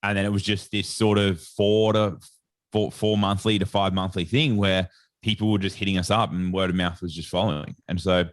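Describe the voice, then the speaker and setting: A very deep Australian man chats as a podcast guest. His voice sits low and sounds close and dry, with no room around it. He rambles loosely through his story with plenty of casual filler. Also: level tone, moderate pace, dry recording. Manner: rambling storyteller, heavy on "like" and "sort of". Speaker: guest, sports nutritionist.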